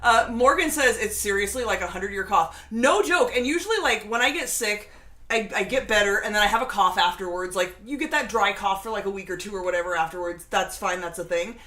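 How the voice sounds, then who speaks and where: A woman's voice: mid-range voice, a little fast, sharp tone. A woman host chatting casually and reading viewer comments, congested from a lingering cold, with a sassy, teasing manner. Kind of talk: casual livestream chat.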